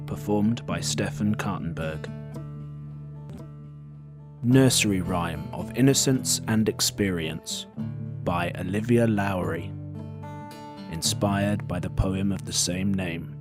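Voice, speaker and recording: A man announces credits over clearly audible plucked guitar. His voice is low and his scripted delivery is slow and measured. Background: acoustic guitar music.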